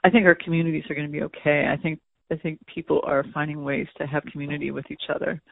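A man with a slightly high, gravelly voice works through his thoughts aloud, pausing and restarting often. The sound is dry and tinny, like a phone line. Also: little pitch movement, no room sound. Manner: thoughtful, hesitant, many restarts and fillers. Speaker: museum education staff. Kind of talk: conversational interview answer.

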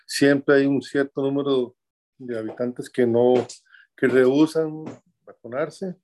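Measured older Costa Rican man, mellow voice. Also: medium pitch, steady tone, a little hiss or hum. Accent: Costa Rican Spanish. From Costa Rica. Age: 60s. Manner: measured, halting with fillers, searching for words. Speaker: interviewee. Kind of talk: remote interview answers. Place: his home.

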